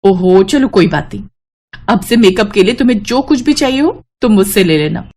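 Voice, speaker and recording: A woman's voice: medium pitch, lively intonation, normal speed, a little mellow. A woman voicing a friendly, obliging young newlywed wife with a breezy manner in a cartoon story.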